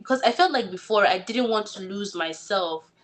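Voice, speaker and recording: Young Nigerian woman, halting and thoughtful. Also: mid-range voice, expressive. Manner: thoughtful, many likes and restarts. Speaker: guest actor. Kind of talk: casual interview answers.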